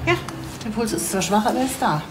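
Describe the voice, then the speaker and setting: An actress playing a dry, sardonic forensic doctor in a TV crime comedy. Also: female voice, mid-range voice, animated, fast talker, lightly acted. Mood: dry sarcasm. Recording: echoey room, loud music behind.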